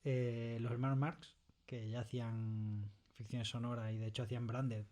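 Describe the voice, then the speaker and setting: A man with an accent from Spain speaking casually and a little haltingly as a studio interview guest. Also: medium pitch, level tone, dark tone. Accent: Spanish from Spain. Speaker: interview guest, audio drama creator. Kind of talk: casual chat. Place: recording studio.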